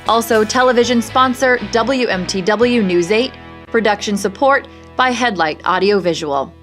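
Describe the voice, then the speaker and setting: A woman television news presenter reading a scripted program introduction with polished, even delivery. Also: mid-range voice, moderate pace, soft background music. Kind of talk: scripted broadcast announcement.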